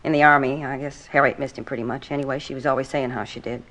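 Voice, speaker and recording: An actress playing a blunt, defensive, gossipy apartment manager with an American accent in 1950s radio drama style. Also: female voice, very deep voice, fairly even intonation, fast talker, a bit bright, low-quality recording. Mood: confiding. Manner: blunt, gossipy, folksy clipped grammar. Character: brusque, defensive, talkative apartment manager woman with children. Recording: vintage 1950s radio recording.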